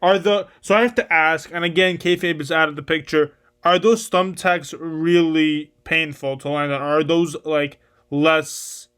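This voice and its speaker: Male podcast host, clear tone, asks in halting bursts. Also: on the high side, fairly even intonation, a bit bright. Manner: hesitant, restarts questions, short reactions. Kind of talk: interviewing a guest.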